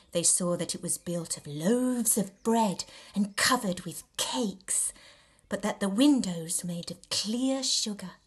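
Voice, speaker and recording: A woman reading a novel extract aloud in an expressive, theatrical and measured storytelling style. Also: mid-range voice, breathy edge. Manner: theatrical, measured storytelling with dramatic emphasis and hushed dips. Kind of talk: expressive scripted audiobook narration.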